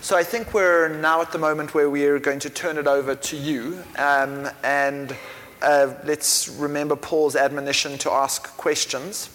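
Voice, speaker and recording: A man moderating a panel discussion, steady and measured. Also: fairly high pitch. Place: lecture hall. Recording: reverberant hall with faint room noise.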